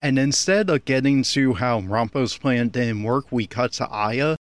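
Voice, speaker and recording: A man with a medium-pitched voice records an audio commentary on an anime. He speaks as an opinionated critic, and the sound is dry, with very little room to it. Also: measured pace, no room sound.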